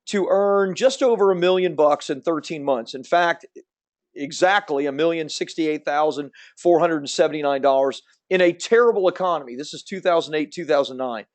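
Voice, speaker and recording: Fast-talking upbeat man, slight Southern accent. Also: on the high side. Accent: slight Southern American. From Central Florida. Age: 50s. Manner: quick, upbeat and salesy. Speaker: webinar host. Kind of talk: fast promotional webinar presentation.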